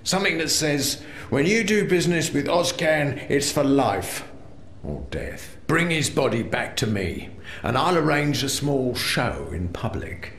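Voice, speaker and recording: An actor playing a shady, mocking crime boss in a video game, smug and conspiratorial. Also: male voice, mid-range voice, very animated, a little slow, fairly bright tone, roomy sound, slight background noise. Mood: menacing, smug. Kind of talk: video game character acting.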